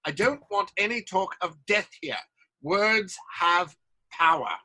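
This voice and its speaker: An actor playing a fervent, protective leader of a theatre troupe, speaking emphatically in a theatrical audio drama. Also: male voice, sits high, unhurried, bright, forceful tone, exaggerated voice. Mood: firm, reassuring.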